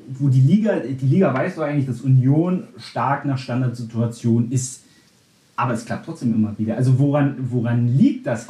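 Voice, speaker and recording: A man's voice: fairly high pitch, warm tone, roomy sound. A man born in Berlin, hosting a casual football podcast, talking in a chatty way with frequent restarts and fillers. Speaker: podcast host.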